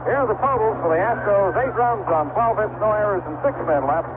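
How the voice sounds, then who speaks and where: American man, baseball play-by-play, slightly high voice, very noisy 1970s radio sound. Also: brisk. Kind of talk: 1970s live radio sports commentary. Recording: noisy, tinny vintage AM radio broadcast.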